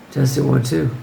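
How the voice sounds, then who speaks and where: A man who teaches English gives casual, firm classroom advice in a hoarse voice that keeps giving out, often checking in with the listener. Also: medium pitch, dark tone, slight background noise, low-quality recording. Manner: informal, firm, checks in with "okay?". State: hoarse, losing his voice.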